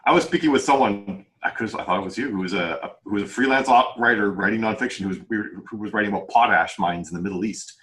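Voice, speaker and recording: A man's voice: lowish voice, fast talker, hardly any pauses, a bit bright. A man with a Canadian accent hosting a podcast in a casual, chatty way. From Saskatchewan, Canada. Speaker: podcast host, author. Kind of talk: casual chat.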